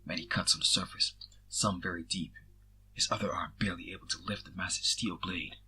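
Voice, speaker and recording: A man's voice: sits low, fairly even intonation, a little fast, sharp tone, husky, poor audio. A man reading fan fiction aloud as an amateur scripted story narration.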